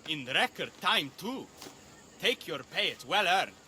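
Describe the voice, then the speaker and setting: A shrewd, jovial trader in a game cutscene. The voice is very bright and forceful, fairly high and animated, full of familiar banter. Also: male voice, a little slow, slight background noise, full character voice. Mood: friendly, bantering. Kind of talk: video game cutscene dialogue.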